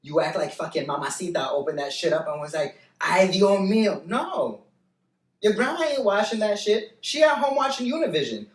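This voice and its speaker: A man's voice: sits high, very animated, moderate pace, roomy sound, recorded from a distance. A man who is a guest on a podcast, chatting casually, blunt and sassy, swearing often. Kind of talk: casual chat.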